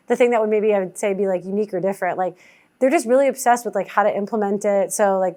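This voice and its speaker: A woman venture investor answering audience questions in casual, chatty talk, filling her speech with "like" and acting out other people's lines. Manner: chatty, heavy use of "like" fillers; acts out founders' lines in a higher voice.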